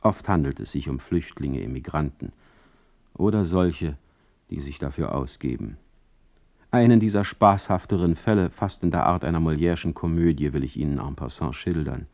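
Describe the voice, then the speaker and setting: A man playing a witty exiled 19th-century poet in a radio play, voicing his letters with urbane, mock-polite irony and deliberate phrasing. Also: a bass voice, darkish tone. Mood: wry, self-amused.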